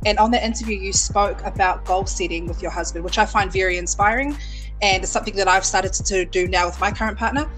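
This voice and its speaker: A woman's voice: mid-range voice, quick pace, a bit bright, faint music behind, poor audio. A woman from New Zealand hosting a podcast, upbeat and enthusiastic, full of effusive praise for her guest. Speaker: podcast host. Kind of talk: casual interview chat.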